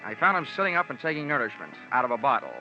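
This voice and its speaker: An actor playing a wry, tough, wisecracking insurance investigator who narrates in noir radio style. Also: male voice, mid-range voice, very animated, racing, bright, forceful tone, heavily acted. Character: hard-boiled, wisecracking male insurance investigator. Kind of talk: noir first-person narration, old-time radio acting. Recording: muffled old radio drama recording. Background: music.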